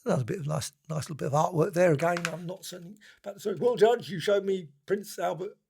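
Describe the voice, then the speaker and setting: A man's voice: sits high, fast, gravelly, a little mellow, slight background noise. A man giving a casual, unscripted review commentary, musing and rambling as he goes and often trailing off. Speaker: book and comic reviewer.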